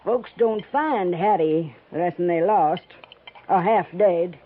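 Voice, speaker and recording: Tough old American frontier widow, tinny radio. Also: female voice, lowish voice, slow. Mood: gruff, matter-of-fact. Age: older. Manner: curt, scolding, folksy. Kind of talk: 1950s radio western acting. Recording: tinny, narrow-band 1950s radio recording.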